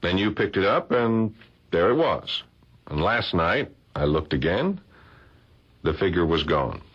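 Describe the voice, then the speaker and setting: A man's voice: low voice, sing-song, a little slow, a bit bright, breathy edge, heavily acted. A man playing a puzzled, insistent art professor in a vintage radio drama. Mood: puzzled, earnest. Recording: dull, lo-fi old radio recording.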